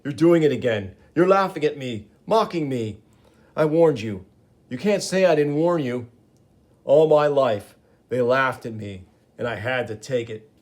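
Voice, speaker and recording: A man voicing a touchy, shrill man in an ape suit who rants and pleads to be taken seriously. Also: steady tone, normal speed, natural voice. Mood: ranting. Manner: whining, rising to frantic yells.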